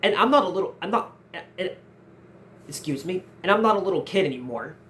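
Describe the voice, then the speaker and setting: High-voiced young man answering questions. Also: expressive, fast, fairly bright tone, slight background noise. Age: 19, nearly 20. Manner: earnest, repeats and restarts phrases often. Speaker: channel host answering viewer questions. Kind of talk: casual Q&A vlog.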